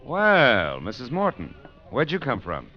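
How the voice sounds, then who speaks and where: A man's voice: medium pitch, wildly swooping pitch, measured pace. An American man playing a wry, tough private detective in an old-time radio drama, sardonic and clipped in his delivery. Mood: dryly amused. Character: wry, tough, nosy male private detective, worldly and unflappable. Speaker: narrator and lead actor. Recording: dull vintage radio recording, music behind.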